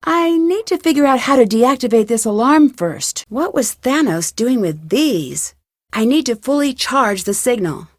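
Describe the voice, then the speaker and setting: A woman voicing a curious, determined young female detective in an adventure game, talking to herself in clear, purposeful scripted lines. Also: a little high, animated, a little slow, darkish tone, dry recording, clean studio sound. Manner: clear self-talk, inquisitive and purposeful. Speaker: game protagonist.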